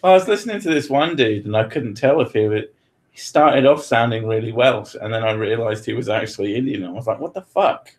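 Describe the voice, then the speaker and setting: A man's voice: mid-range voice, brisk, a little mellow. A man from Britain chatting casually as host, joking, swearing freely and laughing often. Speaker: podcast host answering questions. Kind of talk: casual chat.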